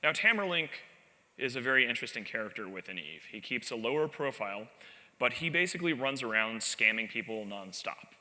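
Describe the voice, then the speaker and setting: A man giving a live conference talk, telling stories in an animated, wry way with self-deprecating asides, speaking from a stage in a large hall. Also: mid-range voice, a little fast. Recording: long reverb of a large hall.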